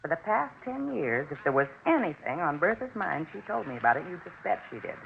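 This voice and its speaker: Creaky, chatty older neighbour lady. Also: low-pitched, big pitch swings, fairly quick, heavily acted. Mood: chatty, worried. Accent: American. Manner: talkative, folksy, emphatic. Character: chatty, insistent older neighbour woman, folksy and fretful. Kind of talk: 1950s radio drama acting. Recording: tinny, noisy 1950s radio recording.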